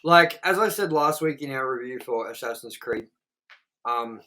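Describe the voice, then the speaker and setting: A man's voice: medium pitch, expressive, moderate pace, sharp tone, slight background noise, rough recording. A man with an Australian accent, co-hosting a movie review chat, blunt and profane, rambling with lots of fillers.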